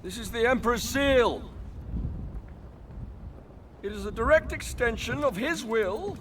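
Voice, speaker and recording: An actor playing a smug, theatrical Roman emperor's son, speaking pompously in a video game cutscene. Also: male voice, very high voice, fairly bright tone. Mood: smug, grandiose. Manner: pompous, drawn-out delivery. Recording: clear background noise.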